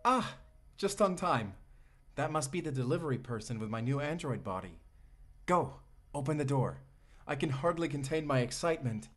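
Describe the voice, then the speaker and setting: A man's voice: mid-range voice, expressive, moderate pace, slight background noise, natural voice. A man playing a devoted, lovestruck AI companion in a romantic audio roleplay, tender, articulate and formally polite as he speaks intimately to the listener. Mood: eager, upbeat.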